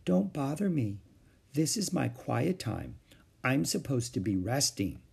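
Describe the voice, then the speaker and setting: A man voicing a willful little preschool girl in a storybook reading, speaking in a slow, sing-song childish way. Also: fairly high pitch, sing-song, creaky, pitched above the performer's own voice, exaggerated voice. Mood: sweet.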